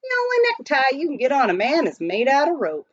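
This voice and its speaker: Good-natured frontier miner man played by a woman, folksy storybook drawl, animated pitch, slightly dull recording. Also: brisk, no room sound. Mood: incredulous. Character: folksy male gold miner, teasing, good-natured, colorful. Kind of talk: children's storybook character voice.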